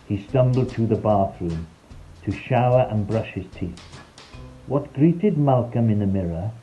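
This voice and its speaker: A man's voice: on the low side, animated, unhurried, highs cut off. A man reading a scripted, rhyming children's story in a playful, theatrical storytelling manner. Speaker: storyteller, narrator. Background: background music.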